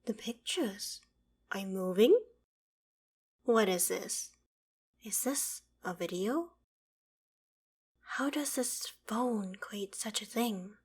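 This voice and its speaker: A woman playing an ancient female dragon in an ASMR roleplay, proud and curious, speaking in a measured, formal, archaic way. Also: a little high, husky, exaggerated voice. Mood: baffled, awed. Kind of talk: ASMR roleplay audio drama, addressing the listener.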